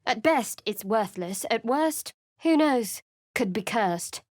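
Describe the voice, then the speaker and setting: A woman's voice: fairly high pitch, expressive, takes it slowly, no room sound. An actress voicing a guarded, sardonic young female cleric in a video game, with a dry, sarcastic delivery. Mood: wry. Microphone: close studio mic.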